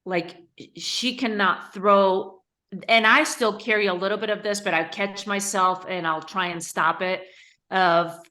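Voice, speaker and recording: A woman raised in Spain who is a guest on a podcast. Her voice is bright and sharp. She answers reflectively, pausing and correcting herself as she works through her thoughts. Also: mid-range voice, steady tone. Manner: thoughtful, hesitant, with fillers and self-corrections. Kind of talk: podcast interview.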